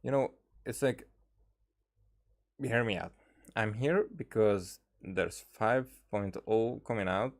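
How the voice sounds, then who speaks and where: Male game streamer, lower register, unhurried and rambling, casual chat with halting delivery. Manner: rambling, halting, trails off mid-thought. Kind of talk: casual livestream chat over gameplay.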